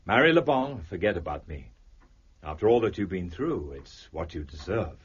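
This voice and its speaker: An actor playing a cool, self-assured gentleman detective in a radio drama, urbane, precise and dryly formal. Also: sits low, very animated, normal speed, husky, heavily acted. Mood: dry, sincere. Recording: dull lo-fi audio with faint noise.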